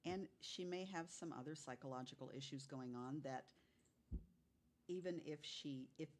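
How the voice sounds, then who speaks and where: A woman on a podcast panel in casual conversation, quick to jump in and agree. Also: low voice, darkish tone. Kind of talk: casual chat, brief reactions.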